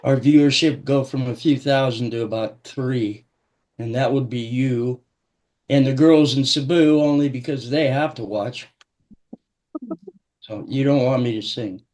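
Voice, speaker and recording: A man's voice: mid-range voice, unhurried, darkish tone, rough recording. A man co-hosting a casual live stream with his wife, answering viewer questions with dry self-deprecating humor. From United States. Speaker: co-host, host's husband.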